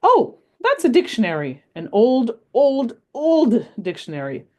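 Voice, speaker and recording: A woman voicing a wise, patient old grandmother in a read-aloud story, gently telling her granddaughter about her life. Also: fairly high pitch, big pitch swings, unhurried, pitched above the performer's own voice. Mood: fond.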